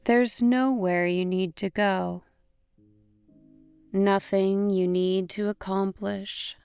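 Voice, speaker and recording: A woman narrating a guided imagery meditation in a gentle, soothing way, in short deliberate phrases with long pauses. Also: mid-range voice, steady tone, vocal fry, smooth, no echo. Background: instrumental music.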